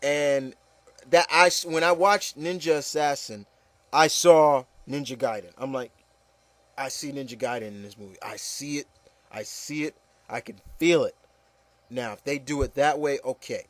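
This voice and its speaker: A man's voice: medium pitch, moderate pace, dry recording. A man hosting a casual, opinionated discussion, speaking emphatically and repeating phrases to drive points home. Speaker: podcast host.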